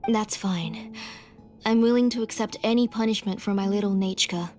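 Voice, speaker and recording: A woman's voice: mid-range voice, normal speed, darkish tone, close to an everyday voice. A woman voicing an anxious, devoted mother in a video game, earnest, humble and protective. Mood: solemn, resigned. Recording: prominent background music under the dialogue.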